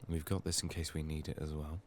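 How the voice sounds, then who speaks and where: A soft-spoken man murmuring low-key ASMR game commentary, thinking aloud in a slightly sick, strained voice. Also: flat delivery, brisk. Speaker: ASMR gaming YouTuber. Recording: faint background noise, clicking.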